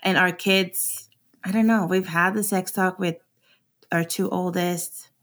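A woman's voice: lowish voice, fairly even intonation, measured pace, fairly bright tone. A woman in her thirties from Sweden, a podcast guest talking openly and casually with frequent laughs and fillers. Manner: open, laughs easily, many fillers.

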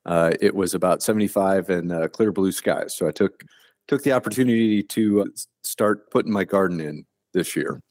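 Retired officer, fifties, from Ohio; low voice, plainspoken, unhurried, remote-call guest. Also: male voice, a little mellow, dry recording, studio quality. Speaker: guest, retired Army colonel. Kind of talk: casual interview conversation.

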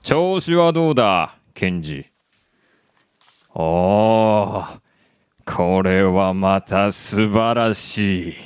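An actor playing a calm, imperious company chairman in a radio drama, measured and polite but haughty. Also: on the low side, smooth, no room sound, clean studio sound. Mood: sinister amusement. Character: calm, imperious male company chairman obsessed with human extremes. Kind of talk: Japanese radio drama acting.

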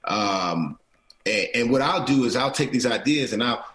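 A man's voice: mid-range voice, lively intonation, normal speed, a bit bright, roomy sound. A middle-aged man, an actor and producer, talking earnestly as an interview guest, restarting phrases and often checking in with "right?". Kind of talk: casual interview talk.

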